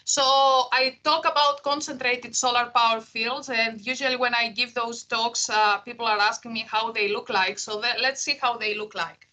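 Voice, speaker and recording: A woman's voice: fairly high pitch, fairly even intonation, moderate pace, hardly any pauses, bright, forceful tone, poor audio. A middle-aged woman from Greece with a Greek accent, giving an online keynote lecture as a professor, with frequent "uh" fillers. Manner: frequent "uh" fillers, earnest and explanatory. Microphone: video call.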